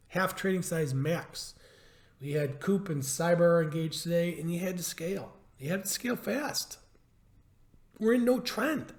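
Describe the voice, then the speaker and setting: A hoarse man who is losing his voice, giving a stock market update over charts; he talks emphatically, repeats his points and asks rhetorical questions. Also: high voice, reverberant. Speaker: stock trading educator.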